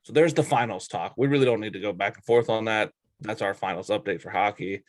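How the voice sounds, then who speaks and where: A man's voice: fairly low pitch, fast talker, no echo. A man co-hosting a sports talk show in a casual, bantering style.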